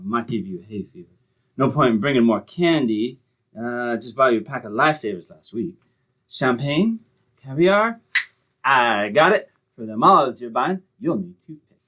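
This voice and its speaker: A man's voice: mid-range voice, animated, normal speed, a bit bright, slightly rough. A man playing a breezy, cheap, know-it-all boyfriend, glib and self-important, in old-time radio comedy style. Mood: scheming.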